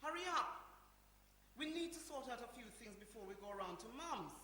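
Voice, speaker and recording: A woman's voice: fairly high pitch, expressive, brisk, bright, forceful tone. Woman acting bossy elder sister, echoey hall. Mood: brisk, bossy. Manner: insistent, exasperated, repeats herself. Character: worried, bossy grown-up sister organising her brothers. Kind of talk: live-performed radio play. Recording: echoey hall, faint noise.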